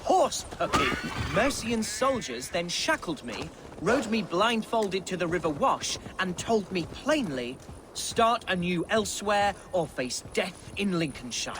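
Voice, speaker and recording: Pompous young Saxon noble: high, animated, florid, over game score. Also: male voice, measured pace, background noise. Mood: musing. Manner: pompous, theatrical, florid speech. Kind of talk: video game cutscene acting. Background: orchestral game music.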